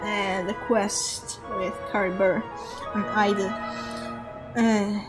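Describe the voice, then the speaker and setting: Slow, rambling woman streamer. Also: mid-range voice, little pitch movement. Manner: rambling, thinking aloud, many restarts and fillers. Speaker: game streamer. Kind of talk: casual live gameplay commentary. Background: game music.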